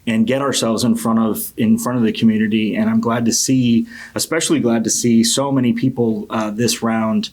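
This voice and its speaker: A middle-aged man with a fairly low voice and very little melody delivers a campaign opening statement. He comes from Connecticut and speaks as a council member, earnest and measured, often pausing and restarting. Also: little pitch movement, darkish tone. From Connecticut, United States. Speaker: city council member and candidate.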